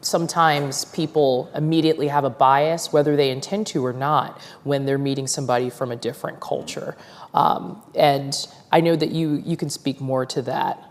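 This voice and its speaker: Woman, panel moderator, extremely low pitch, measured affirming questions, reverberant hall. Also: fairly even intonation. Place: university forum hall.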